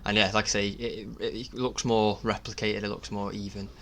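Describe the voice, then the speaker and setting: Low, flat-toned man, hesitant delivery. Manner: hesitant, halting, self-effacing. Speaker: DIY builder narrating own project. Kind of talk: casual video voice-over. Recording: clear background noise.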